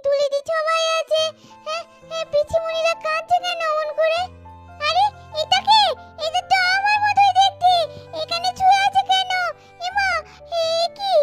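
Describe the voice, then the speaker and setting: A woman's voice: extremely high pitch, a bit bright. A woman voicing the bewildered, innocent ghost of a little girl in a doll-story cartoon, pleading childishly and repeating her calls.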